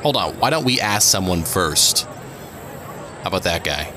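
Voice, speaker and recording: An actor playing a cautious, hopeful police officer in an audio drama, earnest and questioning. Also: male voice, low voice, lively intonation, moderate pace, a crowd in the background. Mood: curious, hopeful. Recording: outdoor city noise behind. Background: urban street ambience.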